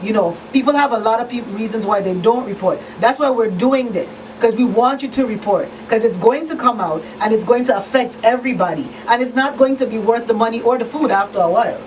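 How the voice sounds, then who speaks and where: A woman's voice: a little high, a little fast, few pauses, darkish tone. A woman talking conversationally in a panel discussion, explaining her points and often checking that listeners follow. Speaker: talk show co-host and victim advocate. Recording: distant mic, faint background noise.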